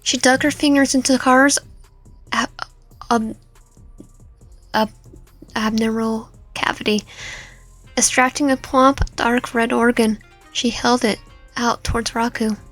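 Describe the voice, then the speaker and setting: Woman narrator, slightly high voice, dramatic storytelling, halting read-aloud with repeated words, music underneath. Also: fairly even intonation, fairly bright tone, low-quality recording. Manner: stumbles and repeats words while reading. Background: background music.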